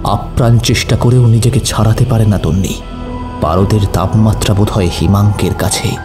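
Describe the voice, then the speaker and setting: A man's voice: on the low side, fairly even intonation, normal speed. A man narrating a scripted horror story with suspenseful, dramatic phrasing. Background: music.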